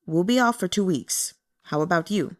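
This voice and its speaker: A woman voicing a curt, listless male book editor in an audiobook, measured and critical. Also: sits high, lively intonation. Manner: measured, dismissive. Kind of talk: audiobook character dialogue.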